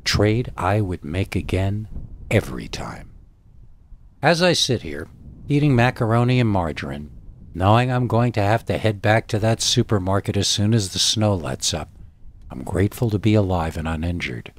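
Low-pitched man narrating scary stories. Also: lively intonation, normal speed. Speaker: horror story narrator and channel host. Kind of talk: scripted scary story narration.

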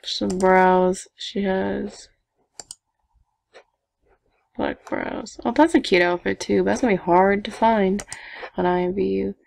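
A young woman chatting casually to viewers while she builds a game avatar, talking to herself with giggly asides in a low evening voice. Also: steady tone, takes it slowly, gravelly, long pauses, a little mellow. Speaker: gaming vlogger. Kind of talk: casual screen-recording commentary.

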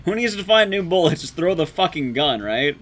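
A man's voice: on the high side, sing-song, brisk, a bit bright. A young adult man giving casual, joking, sweary live commentary as he plays a game. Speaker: game streamer, speedrunner. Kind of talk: casual live stream commentary while playing.

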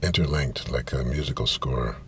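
A man in his fifties with a gravelly voice gives a halting, deliberate literary reading, pausing and repeating himself. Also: very deep voice. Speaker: reader. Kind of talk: literary reading of science fiction prose. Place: inside a parked car, small space. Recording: steady ambient noise of car interior.

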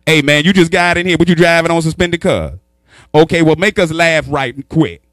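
Casting: man in his thirties, stand-up comic from New York, loud and animated, handheld mic in a dry studio. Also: a little high, normal speed, dry recording. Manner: loud, animated, shouts punchlines. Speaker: stand-up comedian. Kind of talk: stand-up routine. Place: small studio with sponsor backdrop. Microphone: handheld microphone.

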